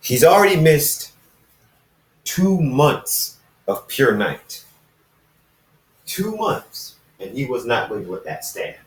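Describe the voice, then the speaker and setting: A man reading fan fiction aloud as an amateur narrator, halting and often going back to repeat phrases. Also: mid-range voice, sing-song. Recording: roomy echo, distant from the mic.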